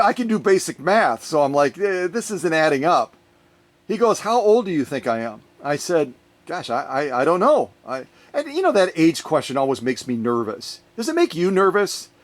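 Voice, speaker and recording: A man with a voice slightly on the higher side talks casually to his viewers in a workshop vlog. He is a lively, chatty storyteller who wanders off on tangents and rarely stops talking. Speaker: sewing machine restorer. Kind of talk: casual unboxing vlog talk. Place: workshop, recording his own video.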